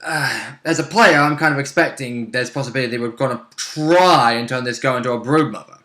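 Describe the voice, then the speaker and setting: A man's voice: medium pitch, a little fast, sharp tone. Wry man in an echoey room. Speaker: player in a tabletop roleplaying game. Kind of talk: casual chat about the game. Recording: roomy, echoing indoor sound.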